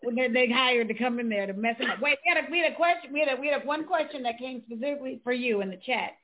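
A woman's voice: high voice, racing. A woman co-hosting a casual discussion, chiming in and reading questions from the chat. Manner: conversational, chiming in with agreement. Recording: tinny phone-like line.

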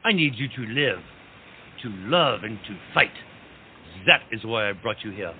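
A man's voice: fairly low pitch, animated, unhurried. Exuberant French marquis played by a man, theatrical, rousing period-comedy delivery; narrow phone-band sound with noise. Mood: rousing, earnest. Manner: grand, rousing, peppered with French phrases. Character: exuberant, idealistic French marquis urging his friend on. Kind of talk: theatrical comic period audio drama. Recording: tinny phone-like line, clear background noise.

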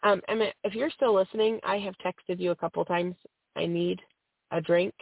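A host with a cold, half-losing their voice, reads a religious text aloud with small stumbles and fillers. The voice has a gravelly, frayed edge. The sound is tinny and dry, like a phone line. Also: medium pitch, fairly even intonation, normal speed, no echo. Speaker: host reading aloud on a call-in program.

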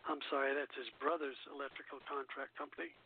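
A man's voice: mid-range voice, little pitch movement, quick pace. A man hosting a radio show, reading a music biography aloud and stumbling over the script now and then. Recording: muffled, phone-like internet radio call-in line.

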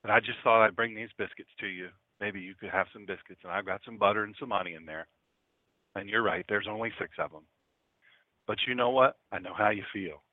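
A man voicing a kindly little old church lady who speaks softly and patiently. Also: mid-range voice, lively intonation, moderate pace, pauses often, dry recording, phone-quality sound, close to an everyday voice. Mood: tender, patient. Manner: soft, quiet, patient.

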